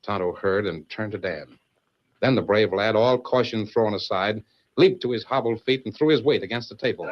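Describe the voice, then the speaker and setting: A man's voice: on the low side, normal speed. A man giving suspenseful, declamatory scripted narration in the style of an old-time radio serial. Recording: dull vintage radio, music behind.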